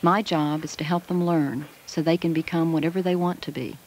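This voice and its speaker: A woman's voice: deep voice, fairly even intonation, fairly quick, a little hiss or hum, lightly acted. A woman playing a devoted, hopeful schoolteacher in an educational film, speaking earnestly in careful phrases on a 1980 film soundtrack. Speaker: teacher. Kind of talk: scripted educational film monologue.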